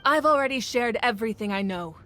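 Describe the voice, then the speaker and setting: A woman voicing a composed, authoritative female ruler in a video game, speaking with measured calm. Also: a little high, a little hiss or hum. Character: commanding yet gentle female ruler of a nation. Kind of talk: game voice acting.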